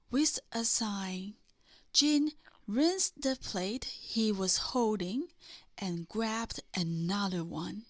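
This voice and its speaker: Very slow, high-voiced woman storyteller. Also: a bit bright, no echo, clean studio sound. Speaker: storybook reader. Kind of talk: read-aloud children's bedtime story narration.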